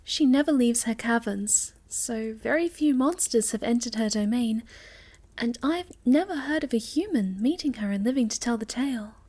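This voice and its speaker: A woman playing a sweet, shy, gentle moth-girl monster in a soft, halting ASMR roleplay, often slipping toward a whisper. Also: on the high side, lively intonation. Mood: hesitant, wondering.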